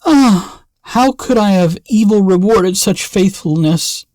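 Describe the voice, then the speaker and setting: A man's voice: high voice, animated, slow, darkish tone, dry recording, pitched above the performer's own voice. A man voicing a passionate, loyal young king in a fairy tale, speaking with emotional exclamations. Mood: anguished.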